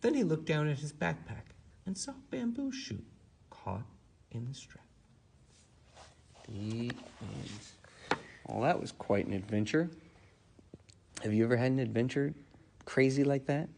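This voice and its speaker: A man reading aloud to a child in an expressive, animated storytelling manner. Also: mid-range voice, unhurried, creaky, pauses often, husky. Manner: animated, acts out character lines. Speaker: storybook reader. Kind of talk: expressive read-aloud of a children's story, then casual chat.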